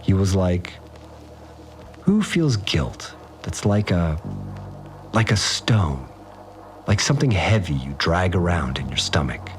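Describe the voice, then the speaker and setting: A man's voice: low voice, big pitch swings, slow, long pauses, exaggerated voice. An actor playing a slangy, rattled fixer who tells his story informally in an audio drama. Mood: uneasy. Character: rattled, slangy male fixer recounting events. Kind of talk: first-person audio drama monologue. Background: music.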